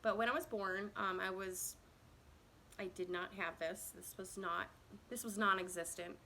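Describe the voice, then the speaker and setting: A woman's voice: mid-range voice, steady tone, normal speed, creaky, bright, forceful tone, slight background noise, low-quality recording. A woman in her thirties from Indiana tells her own story to a phone camera in a casual, rambling way, with many fillers and self-conscious laughs. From Indiana, USA. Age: 30s.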